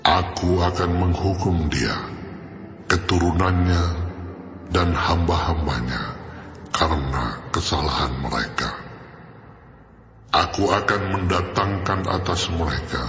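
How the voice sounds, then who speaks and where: God, very deep and echoing. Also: male voice, fairly bright tone, exaggerated voice. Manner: slow, grave, booming proclamation. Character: the voice of God, solemn and commanding. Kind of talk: audio Bible drama. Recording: echoey reverb hall, dull sound over music.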